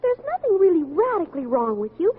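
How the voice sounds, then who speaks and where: A meddling, earnest teenage schoolgirl in an old radio sitcom. Her voice is high and animated, chatty and frank to a fault. The recording is thin vintage radio with some hiss. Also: quick pace, phone-quality sound. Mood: earnest, gushing. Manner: gushing, earnest, tactlessly frank. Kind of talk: 1940s-50s radio sitcom acting.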